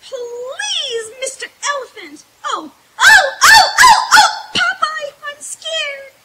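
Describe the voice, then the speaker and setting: A woman's voice: very high voice, animated, very noisy, full character voice. A woman playing a fussy, anxious cartoon lady in an old-time radio play. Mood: nervous. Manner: drawn-out, fussy exclamations. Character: fluttery, anxious, shrill cartoon lady sweetheart. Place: outdoor stage in bamboo woods.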